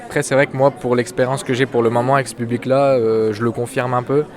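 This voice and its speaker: A man's voice: mid-range voice, little pitch movement, fast, darkish tone. An eighteen-year-old man, a student, answering interview questions in a casual, spontaneous way. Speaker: social work student being interviewed. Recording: outdoors amid busy crowd chatter. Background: crowd chatter outdoors.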